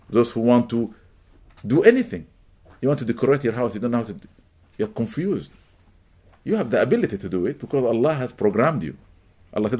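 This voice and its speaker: A middle-aged man whose first language is Arabic, teaching a live audience, animated and emphatic, rising to loud peaks. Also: medium pitch. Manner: animated, rising to emphatic peaks, welcomes latecomers mid-talk. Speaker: religious teacher.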